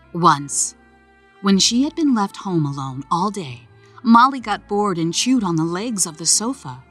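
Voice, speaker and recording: Lower-pitched woman narrating a children's story. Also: fairly bright tone.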